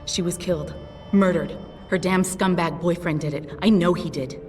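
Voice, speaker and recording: A woman's voice: lowish voice, fairly even intonation, normal speed, natural voice. An actress playing a tough, guarded female pilot who swears often in a video game cutscene. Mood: upset. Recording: distant voice with long reverb over music.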